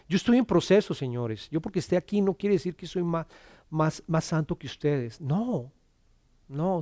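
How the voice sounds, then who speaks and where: A middle-aged man delivers a sermon in an earnest, personal way. His voice sits somewhat high for a man, and he often repeats words as he starts a thought. He sinks into a soft hush when quoting scripture, and the sound is dry and close. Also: no echo. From Colombia. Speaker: preacher. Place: church.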